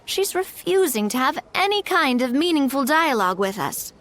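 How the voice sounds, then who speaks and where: Friendly young game ally, reassuring, conversational, high, lively. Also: female voice, moderate pace, slight background noise. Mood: explaining. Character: friendly young woman, kind and easygoing ally. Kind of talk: game voice acting.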